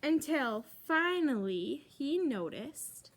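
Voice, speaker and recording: High, very animated woman reading a picture book. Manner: expressive, emphatic pauses for young listeners. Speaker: librarian reading a storytime. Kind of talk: animated picture-book read-aloud for children.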